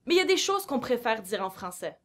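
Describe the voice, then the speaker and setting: A young Franco-Manitoban woman delivering scripted lines from a film in a bright, declamatory way. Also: on the high side, big pitch swings, fast. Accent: Franco-Manitoban French. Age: young adult.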